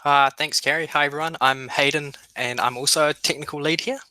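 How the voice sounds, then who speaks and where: Man, technical lead, modest, very bright tone. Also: on the high side, fairly even intonation, a little fast, no room sound, rough recording. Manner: modest, self-deprecating. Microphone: video call.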